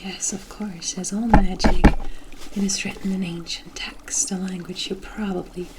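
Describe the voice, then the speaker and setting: A woman playing a kindly forest witch in an ASMR roleplay, gentle and reassuring, with formal storybook phrasing. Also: medium pitch, fairly even intonation, moderate pace, very noisy, lightly acted. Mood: softly reassuring. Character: kindly forest witch brewing a protective potion.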